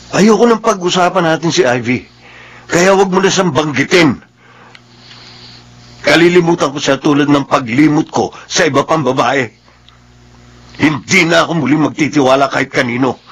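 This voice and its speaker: A man's voice: fairly high pitch, a bit bright, husky. An actor playing a bitter, brooding, heartbroken man in a radio drama, terse and guarded.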